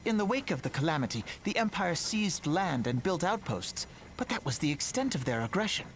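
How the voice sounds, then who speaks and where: An earnest, higher-pitched young fantasy scholar. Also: male voice, very animated, brisk, a little hiss or hum. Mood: grave, measured. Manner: formal, archaic phrasing. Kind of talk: fantasy game dialogue acting.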